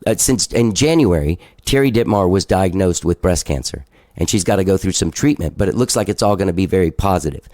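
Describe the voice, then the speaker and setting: A man's voice: lowish voice, darkish tone, dry recording, clean studio sound. Chatty man with a stuffed-up nose. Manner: loose and chatty, swears, laughs at himself. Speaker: podcast host. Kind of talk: casual podcast monologue.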